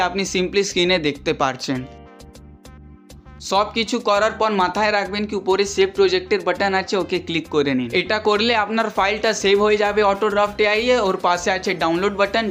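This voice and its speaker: A man presenting a step-by-step software tutorial in voice-over, mixing English words into his speech. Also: sits high. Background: background music.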